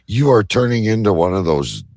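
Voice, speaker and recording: A man's voice: on the low side, sing-song, normal speed, darkish tone, dry recording, clean studio sound. A man talking as a talk show host in crude, irreverent banter, brash, mocking and argumentative.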